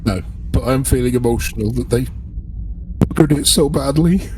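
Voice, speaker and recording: A man's voice: mid-range voice, normal speed, background noise. A man chatting casually as a player in a tabletop role-playing game.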